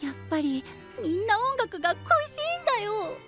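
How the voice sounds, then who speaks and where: Tiny excitable anime mascot, extremely high-pitched, cute and eager, music behind. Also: heavily acted. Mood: excited. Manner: quick, excitable, stammers when startled. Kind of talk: Japanese anime audio drama acting.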